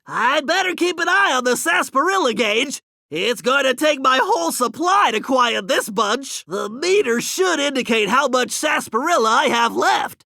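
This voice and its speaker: A man voicing a nerdy, brainy and anxious turtle strategist in an animated video game, with a nasal voice and precise, earnest, wordy delivery. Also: extremely high pitch, gravelly, dry recording, full character voice.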